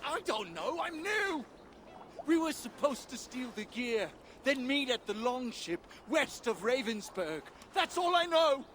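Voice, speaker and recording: A man's voice: extremely high pitch, very animated, unhurried, a bit bright, a little hiss or hum, heavily acted. An actor playing a cowardly captured raider in a video game, blurting out his words in panic. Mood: panicked pleading. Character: young captured raider, cowardly, defiant then pleading.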